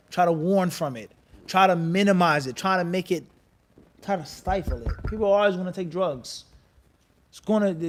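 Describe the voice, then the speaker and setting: High-pitched man teaching an Islamic class. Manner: animated question-and-answer, prompting listeners to finish his sentences; mixes Arabic phrases and terms into English. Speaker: Islamic teacher leading a live-streamed class. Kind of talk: religious lecture to a class, conversational. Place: mosque class.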